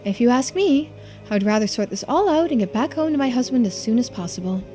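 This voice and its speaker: A woman's voice: fairly high pitch, lively intonation, fairly quick, mellow, faint music behind, lightly acted. A woman voicing a composed, polite housewife investigator in a video game, speaking formally and matter-of-factly. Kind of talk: video game dialogue read-through.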